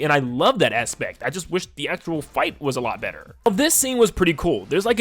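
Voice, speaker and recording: A man's voice: fairly high pitch, fairly bright tone. A man giving casual, enthusiastic and emphatic video essay commentary as a conversational game reviewer. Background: music under the voice.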